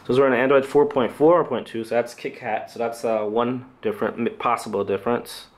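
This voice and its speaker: A man giving an unscripted product review, speaking in a hesitant, halting, off-the-cuff way as a channel host. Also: medium pitch, fairly even intonation.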